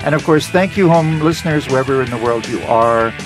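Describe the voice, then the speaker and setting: Male radio host over background music. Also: medium pitch. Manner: wry, reads out plugs and thanks briskly. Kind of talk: radio talk show wrap-up. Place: radio studio.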